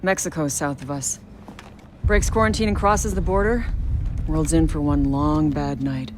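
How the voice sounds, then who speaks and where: An actress playing a steady, serious special-operations commander in a video game, with a clipped, businesslike military delivery. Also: female voice, sits low, normal speed, background noise, lightly acted. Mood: grim, measured. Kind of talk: scripted game cutscene acting.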